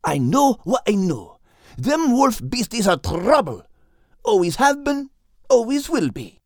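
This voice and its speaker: A man voicing a stubborn male dog who speaks in a rough brogue and calls his friend "lass". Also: extremely high pitch, sing-song, unhurried, dry recording, studio quality, pitched above the performer's own voice, exaggerated voice. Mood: insistent. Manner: blunt, dialect words like "lass" and "me life".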